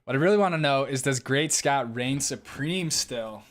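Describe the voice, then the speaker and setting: A young man hosting a live stream, reading chat and answering in a relaxed, casual way, laughing often and swearing now and then. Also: medium pitch, normal speed. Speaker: livestream host reading chat.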